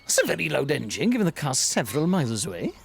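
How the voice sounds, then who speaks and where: A man acting an exasperated, excitable senior detective in a comic audio drama, urgent and full of exclamation. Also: a little high, fast, creaky, breathy edge, full character voice. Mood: urgent, alarmed.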